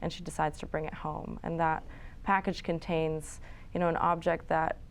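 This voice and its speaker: Young woman director, relaxed and chatty, lowish voice, studio, faint background hiss. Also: moderate pace, creaky. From New York City and Florence. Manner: relaxed, chatty, with frequent fillers and small laughs. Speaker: filmmaker guest. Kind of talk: casual interview answers.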